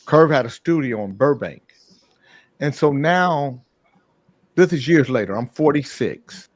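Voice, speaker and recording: A man hosting a morning talk show, telling a long, profane anecdote in a casual, animated way. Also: medium pitch, unhurried, no room sound. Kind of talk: casual storytelling.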